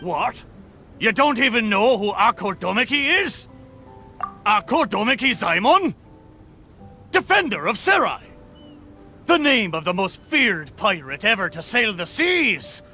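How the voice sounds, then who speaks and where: An actor playing a boastful, hearty talking pirate ship in a video game, with exclamatory, theatrical pirate talk. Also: male voice, high voice, big pitch swings, a little slow, pauses often, bright, forceful tone, faint music behind, heavily acted. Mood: indignant, boastful. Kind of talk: video game character acting.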